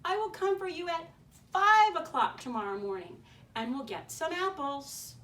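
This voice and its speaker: A woman voicing a sly, hungry big bad wolf in a read-aloud storybook, with booming drawn-out threats and wheedling offers. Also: lively intonation, a bit bright. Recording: distant mic, faint background noise.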